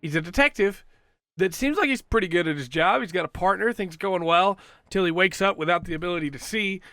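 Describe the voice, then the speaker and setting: A man with a slightly worn, hoarse-edged voice talks through a casual solo review with eager, rambling energy, full of fillers and restarts. His tone is bright and forward, sitting a touch above typical pitch, and the recording is close and dry. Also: a little fast, no room sound. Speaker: podcast host reviewing an audio drama.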